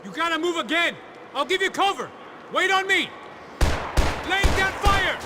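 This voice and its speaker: A man's voice: very high voice, expressive, unhurried. An actor playing a loyal, protective gunman sidekick in an action video game, speaking urgently as he gives directions. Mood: urgent, alert. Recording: loud gunfire and battle noise.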